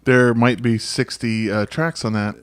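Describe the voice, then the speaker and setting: A man co-hosting a podcast in casual, joking banter with a halting, rambling delivery. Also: medium pitch, steady tone, smooth. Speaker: podcast co-host.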